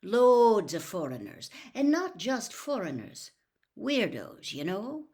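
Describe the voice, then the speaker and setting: A blunt country campsite manager from a storybook, played by a woman reader. He talks in clipped, gruff phrases and sounds suspicious of every stranger who turns up. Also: mid-range voice, huge pitch swings, takes it slowly, a little mellow, heavily acted.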